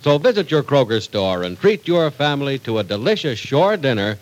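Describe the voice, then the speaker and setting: A man's voice: medium pitch, big pitch swings, normal speed, poor audio. A male announcer reading a script in a formal, polished 1940s radio style. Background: music.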